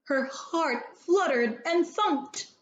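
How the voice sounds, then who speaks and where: A woman reading a children's story aloud in an expressive, theatrical way, with deliberate emphasis on key words. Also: high voice, dull audio, slight background noise.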